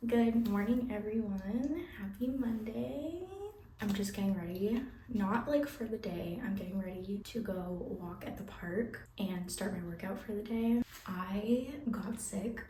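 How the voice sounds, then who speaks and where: A woman's voice: medium pitch, unhurried, warm tone, far from the mic. Chatty young woman, a bit nasal. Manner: chatty, candid, laughs often, says "like" a lot. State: nasal and scratchy after a flu. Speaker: vlogger and aspiring fantasy author. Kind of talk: casual vlog chat. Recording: roomy echo, faint ambient noise.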